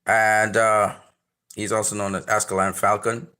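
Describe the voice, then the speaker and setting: A man's voice: lowish voice, level tone, measured pace, bright, forceful tone. A man with a Trinidadian accent hosting a casual trailer reaction, rambling with many fillers and restarts. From Trinidad and Tobago. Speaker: channel host reviewing a trailer.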